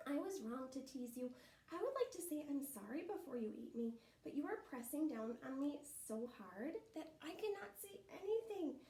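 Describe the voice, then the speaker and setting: Little Brown Squirrel: a woman playing a tiny chirpy boy squirrel, very high, in an echoey room. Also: lively intonation, normal speed, warm tone. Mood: pleading. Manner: squeaky chirping sing-song. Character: cheeky excitable young male squirrel.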